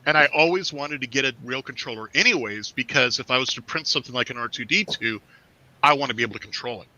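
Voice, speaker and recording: A man's voice: mid-range voice, fast talker, low-quality recording. A man hosting a video call stream, chatting casually and bringing in his guests. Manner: relaxed, introduces guests. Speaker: stream host.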